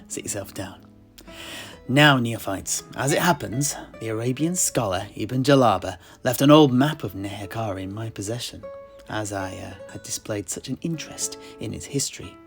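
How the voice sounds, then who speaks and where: A man's voice: on the low side, a bit bright. A man playing a genial, bookish tavern keeper and amateur historian who talks in a hesitant, musing, polite way. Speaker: host and narrator of a fictional history chat. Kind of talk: in-character lore storytelling, unhurried. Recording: music playing behind the voice. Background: guitar-like instrumental music.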